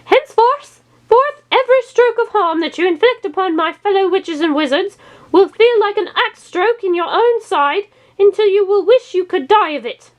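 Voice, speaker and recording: A storybook witch cursing from inside a tree stump, acted out by a woman reader. She is pitched very high, scornful and commanding, with an old woman's menace. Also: lively intonation, moderate pace. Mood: scornful, menacing.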